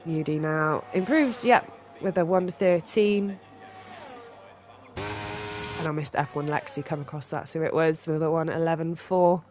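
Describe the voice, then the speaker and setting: A sim racing commentator calls a live session, voice thick and stuffy from a cold. The pitch sits in the middle, and the delivery jumps quickly with excitement at fast laps. Music plays clearly in the background. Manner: excitable, quick reactions to lap times. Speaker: race commentator.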